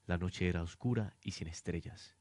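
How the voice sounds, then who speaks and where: A man's voice: sits low, moderate pace, warm tone, dry recording. A man reading scripted narration for a radio drama in a dramatic storytelling manner.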